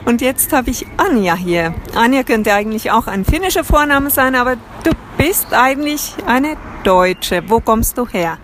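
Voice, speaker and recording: A woman interviewing a guest in a relaxed, chatty way, laughing often between questions. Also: on the high side, normal speed, few pauses, a little hiss or hum. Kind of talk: casual interview.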